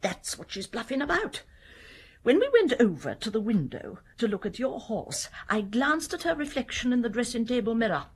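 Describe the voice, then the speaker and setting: A woman's voice: on the high side, big pitch swings, moderate pace, slightly rough. A woman playing a shrewd, commanding elderly Duchess with an upper-class English accent in a British radio drama. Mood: shrewd, dismissive. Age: older. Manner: commanding, matter-of-fact. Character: imperious, shrewd elderly Duchess, sharp-eyed mother.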